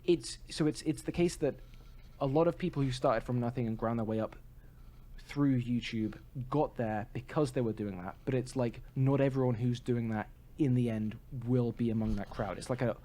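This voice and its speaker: A man's voice: medium pitch, moderate pace, warm tone. A man co-hosting a podcast in casual, opinionated chat, emphatic and prone to swearing. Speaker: podcast co-host.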